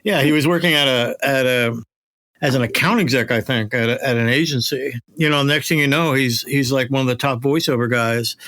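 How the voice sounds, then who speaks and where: A middle-aged man hosting a casual interview, talking in a reflective, halting, conversational way. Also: mid-range voice, a bit bright. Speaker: interview host, voiceover director.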